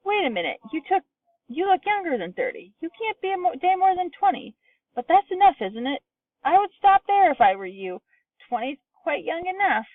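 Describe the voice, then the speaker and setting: A woman reading aloud, voicing an excitable old grandfather who yells and exclaims. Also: very high voice, normal speed, dry recording, pitched above the performer's own voice. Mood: excited. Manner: yelling, excitable. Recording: distant tinny phone-like sound.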